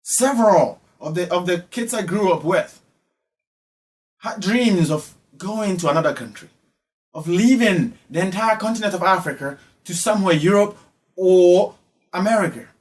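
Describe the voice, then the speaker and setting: A man from Ghana with a Ghanaian accent giving spoken social commentary, emphatic and repeating phrases for effect. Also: high-pitched. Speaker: online commentator.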